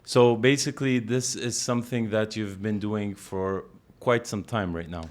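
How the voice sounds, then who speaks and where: Man, podcast host, warm chat, somewhat low pitch. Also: steady tone, normal speed. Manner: friendly, warm, chatty with many restarts. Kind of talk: casual podcast conversation.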